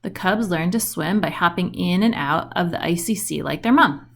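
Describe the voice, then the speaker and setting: A woman reading a children's book aloud in an expressive storytelling style with questioning intonation. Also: fairly low pitch, normal speed, vocal fry.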